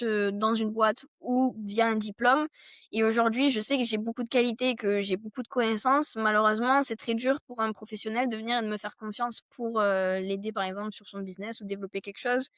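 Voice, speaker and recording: Young woman, higher-pitched, close dry sound. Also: fairly bright tone, no room sound. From France. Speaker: podcast guest, entrepreneur. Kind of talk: casual conversational interview answers.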